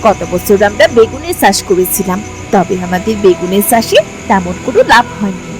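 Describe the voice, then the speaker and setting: A man's voice: high voice, moderate pace, over music, natural voice. A man voicing a deferential village man pleading his case before the headman in a cartoon. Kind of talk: cartoon voice acting.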